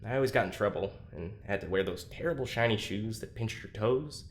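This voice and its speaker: An actor playing a troubled, anxious young man in a confessional audio drama, speaking haltingly with self-deprecating, dry sarcasm. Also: on the low side, close to an everyday voice. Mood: self-doubting, despondent.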